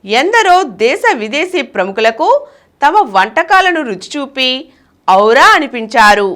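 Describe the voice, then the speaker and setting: Bright, lively-pitched woman reading news. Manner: measured newsreader delivery with headline emphasis. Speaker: news reader. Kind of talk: read news bulletin.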